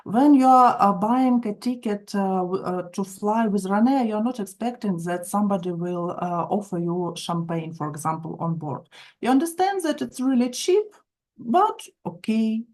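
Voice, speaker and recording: A woman with a Ukrainian accent gives an explanatory online lesson. Her delivery is hesitant and broken up by many fillers, with a mid-range pitch. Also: animated, normal speed, a little mellow. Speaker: marketing instructor. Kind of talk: online training session, explanatory lecture.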